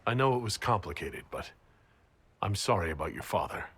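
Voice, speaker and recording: A caring heroic leader in a fantasy game. His low voice is husky and moves with lively inflection. He sounds sincere and measured. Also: heavily acted. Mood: sincere, concerned. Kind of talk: dramatic video game dialogue.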